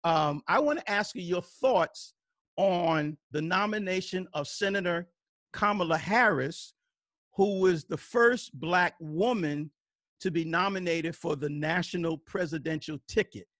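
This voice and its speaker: A man's voice: high-pitched, a little slow, fairly bright tone, no echo, clean studio sound. A male podcast host introducing a guest and asking questions in a welcoming way, with frequent fillers. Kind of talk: interview conversation.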